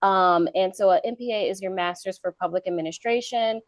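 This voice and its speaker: A woman in her thirties from North Carolina, a guest telling her career story in a relaxed interview with many fillers. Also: mid-range voice, fairly quick, dry recording. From Charlotte, North Carolina. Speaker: guest, government contracting consultant. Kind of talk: casual interview talk.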